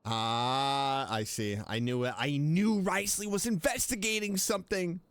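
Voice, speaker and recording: A man's voice: fairly high pitch, fairly even intonation, unhurried, fairly bright tone, clean tone, no echo. A man giving casual reactions while streaming a game, muttering playful asides and often repeating himself. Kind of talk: casual gameplay reaction commentary.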